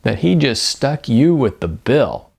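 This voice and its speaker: A man's voice: medium pitch, big pitch swings, a little slow, vocal fry, a little mellow, slightly rough, pitched above the performer's own voice, full character voice. A man voicing a blunt, meddling male restaurant diner who butts in snidely, in an audiobook. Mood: smug. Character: nosy, blunt male diner at the next table. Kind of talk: audiobook character dialogue.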